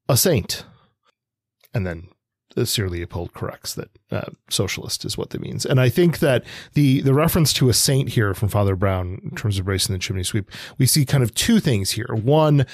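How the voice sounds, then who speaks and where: Male book-podcast co-host, fairly low voice, thoughtful halting analysis, close dry recording. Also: no room sound. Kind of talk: conversational literary analysis.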